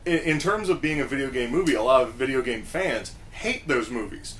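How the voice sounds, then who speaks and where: Mid-pitched man, halting and repetitive. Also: lively intonation, fairly bright tone. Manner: halting, emphatic repetition of phrases. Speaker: podcast co-host. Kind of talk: casual panel discussion. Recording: faint background noise.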